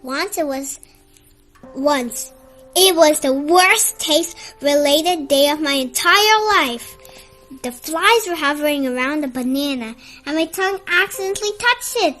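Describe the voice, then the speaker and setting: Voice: high-pitched, unhurried, bright, forceful tone, faint music behind. A storyteller voicing a grumpy, reluctant young male toad in a picture book, with whiny, dramatic complaining. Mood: grumbling, disgusted.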